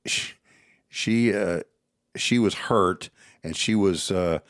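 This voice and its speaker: A man's voice: low-pitched, slow, dry recording, clean studio sound. A man, co-hosting a casual podcast and telling stories in a folksy way with lots of murmured agreement. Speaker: co-host.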